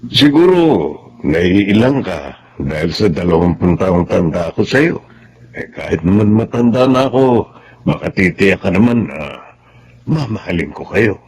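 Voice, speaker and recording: Husky, earnest older suitor, low-voiced. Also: male voice, expressive, measured pace, long pauses, darkish tone, heavily acted. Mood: earnest, pleading. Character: earnest older man pleading his marriage proposal. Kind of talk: Tagalog radio drama acting.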